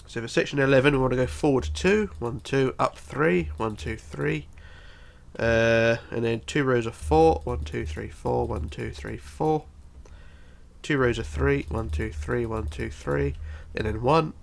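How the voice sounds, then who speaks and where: A man's voice: mid-range voice, a little slow, gravelly. A man with a bunged-up, congested voice giving a casual step-by-step building tutorial, counting aloud methodically. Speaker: tutorial host.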